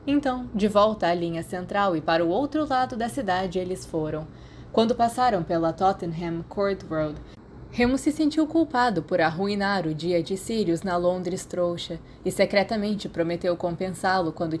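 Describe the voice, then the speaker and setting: A woman's voice: fairly low pitch, lively intonation, moderate pace, darkish tone, a little hiss or hum. A woman with a Brazilian accent reading scripted fiction as an audiobook narrator. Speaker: podfic narrator.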